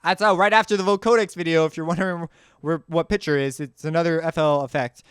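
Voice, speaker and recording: A man giving a casual, off-the-cuff software walkthrough as a tutorial host, informal and slangy, rambling as he goes. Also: fairly high pitch, steady tone, fast talker, clean tone, no echo, clean studio sound.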